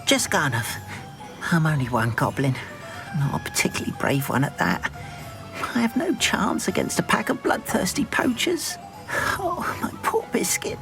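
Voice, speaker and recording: An actor playing a timid, sentimental goblin in a video game, with a plaintive, fretful way of talking. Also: male voice, on the high side, huge pitch swings, normal speed, vocal fry, music in the background, full character voice, low-quality recording. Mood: distressed, fretful. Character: timid, anxious, sentimental goblin doting on his pet. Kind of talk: video game character acting.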